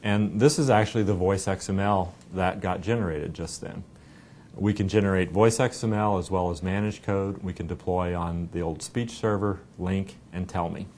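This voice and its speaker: A middle-aged American man giving a conversational, explanatory software product demonstration in an informal way. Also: low voice, fairly even intonation, moderate pace, a little hiss or hum. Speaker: software company representative giving a product demo. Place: indoor meeting room. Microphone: lapel mic.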